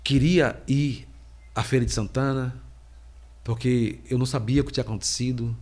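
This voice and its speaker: A middle-aged man speaks slowly, in halting phrases with long pauses. He has a cold, and his voice sits at an ordinary pitch for a man. He is a preacher telling his own story of faith on a podcast. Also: fairly even intonation, a little mellow. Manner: slow, halting, heavy pauses. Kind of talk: personal faith testimony.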